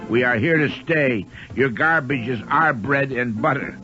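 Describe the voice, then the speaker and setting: A man's voice: medium pitch, a little slow. A man reading a scripted radio commercial as spokesman for a family business. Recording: dull radio ad with music bed.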